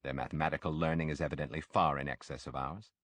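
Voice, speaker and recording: A man reading a literary audiobook as a narrator, with measured, formal and deliberate phrasing. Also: rumbling low, fairly even intonation, fairly bright tone, no echo.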